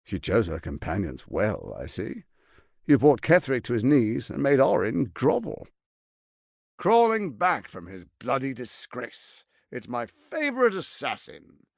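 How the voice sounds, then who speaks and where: An actor playing a suave, ambitious, scheming lord in a video game, speaking in a theatrical, articulate and condescending way. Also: male voice, mid-range voice, huge pitch swings, unhurried, vocal fry, no echo, clean studio sound, exaggerated voice. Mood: cold, condescending. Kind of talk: dramatic video game voice acting.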